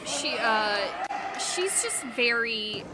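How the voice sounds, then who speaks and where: A woman's voice: a little high, lively intonation, very slow, a loud crowd, heavy background noise. A woman co-hosting a casual wrestling stream, rambling with fillers and the odd swear. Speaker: co-host of a wrestling watch-along stream. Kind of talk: casual commentary chat.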